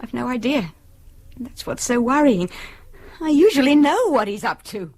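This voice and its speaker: Radio drama mother: middle-aged British woman, husky, worried, a wronged wife. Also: high-pitched, big pitch swings, moderate pace, darkish tone, heavily acted. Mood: anxious. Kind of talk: naturalistic radio drama acting.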